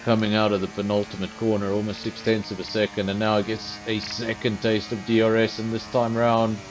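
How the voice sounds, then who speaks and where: Male sim racing commentator from South Africa, lowish voice, chatty and self-deprecating, faint noise under the mic. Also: low-quality recording. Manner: chatty, self-deprecating, addresses viewers directly. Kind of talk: live esports race commentary.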